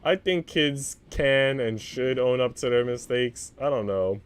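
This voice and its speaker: A man's voice: mid-range voice, measured pace, clean tone. A man narrating a visual novel aloud as a streamer, moving between scripted prose and casual reaction.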